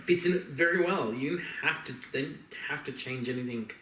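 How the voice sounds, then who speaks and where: An Australian man gives his opinions as a podcast co-host in loose, emphatic conversation. His mid-pitched voice comes from some distance off the mic, with plenty of room echo. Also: fairly quick, sharp tone, slight background noise. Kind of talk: casual opinionated chat.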